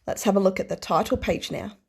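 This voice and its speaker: A woman teacher reading aloud to young children, explaining things simply and deliberately and putting questions to them. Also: medium pitch, vocal fry, a little mellow. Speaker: early primary school teacher.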